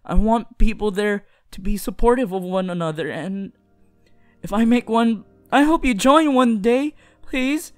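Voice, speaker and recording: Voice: medium pitch, measured pace, dry recording, faint music behind, natural voice. A performer voicing a shy, earnest, lovestruck teenage boy hero in an anime roleplay, stammering tenderly. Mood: earnest, hopeful. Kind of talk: anime fan audio roleplay addressed to the listener.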